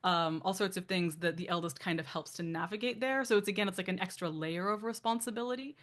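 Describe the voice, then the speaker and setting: Woman from Canada, author guest, low voice, chatty, tangents and fillers. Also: fast, a bit bright. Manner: thoughtful, chatty, many asides and fillers, laughs easily. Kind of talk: casual interview talk.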